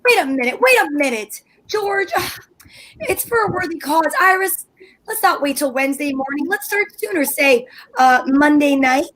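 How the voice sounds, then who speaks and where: Woman as a sharp-tongued high-voiced housewife, old radio comedy style. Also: lively intonation, normal speed. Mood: scheming. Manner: tart, sarcastic, flustered. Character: scheming indignant housewife Liz. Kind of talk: amateur old-time radio acting.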